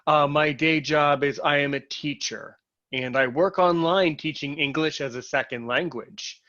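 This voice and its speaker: Animated American man on a muffled call. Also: fairly high pitch, a little slow. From United States. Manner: animated, repeats words and restarts when excited. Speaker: interviewee and show host. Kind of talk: casual conversational interview. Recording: lo-fi online call audio.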